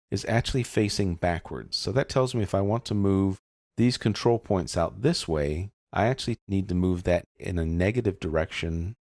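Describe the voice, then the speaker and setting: A man narrating a software tutorial, explaining each step in a casual, methodical way. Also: deep voice, dry recording, clean studio sound.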